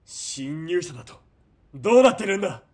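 An actor playing an arrogant, high-strung young army lieutenant in a radio drama, with haughty, barking military speech. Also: male voice, big pitch swings, moderate pace, heavy background noise. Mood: alarmed, scornful. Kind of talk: Japanese radio drama acting.

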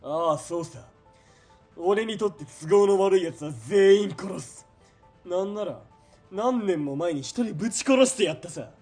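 A ruthless military police commander for a period radio drama, with music underneath. His voice is a little high and husky. He is domineering and contemptuous, sliding from icy, quiet menace into loud, greedy bluster. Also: expressive, measured pace, full character voice. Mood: manic, menacing. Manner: domineering, sneering, swinging from cold murmur to shouting.

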